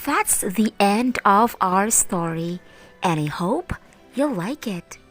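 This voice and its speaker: A female teacher tells a children's Bible story at a very unhurried pace. She speaks straight to young listeners in deliberate phrases, over faint music. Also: mid-range voice, lively intonation.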